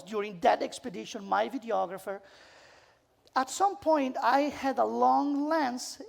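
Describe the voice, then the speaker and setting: A woman with an Italian accent presents a seminar in a fairly high voice with very heavy creak. She tells her stories informally, leaning on repeated words for emphasis. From Italy. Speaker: photographer, seminar speaker. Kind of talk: live seminar storytelling.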